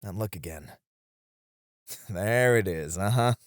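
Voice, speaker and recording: A man's voice: lowish voice, slow, no echo, clean studio sound. A man playing a seasoned knight, a wistful, teasing sparring comrade in a romantic roleplay, with reflective, hesitant phrasing. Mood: earnest, gentle. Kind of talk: romantic ASMR roleplay acting.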